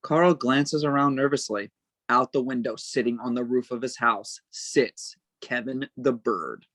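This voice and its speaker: A man reading stage directions as narrator at a table read, with measured, dramatic phrasing. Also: mid-range voice, a bit bright, no room sound.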